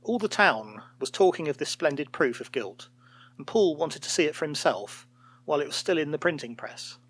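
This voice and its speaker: Pria membacakan dongeng secara teatrikal, suara agak tinggi dengan intonasi sangat hidup. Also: male voice, on the high side, lively intonation, moderate pace, a bit bright. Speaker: storyteller. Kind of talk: scripted fairytale narration.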